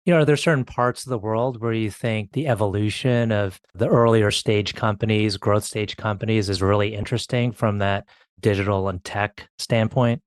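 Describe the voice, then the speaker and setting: Brief: male podcast host, smooth low voice, dry close sound, easygoing questions. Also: normal speed, no echo. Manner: relaxed, conversational questioning. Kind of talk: interview questions.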